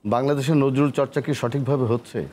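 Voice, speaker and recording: A man's voice: mid-range voice, steady tone, a little fast, darkish tone. A Bangladeshi man hosting a television interview, putting questions and comments to his guest, with some background noise. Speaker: interview host, poet.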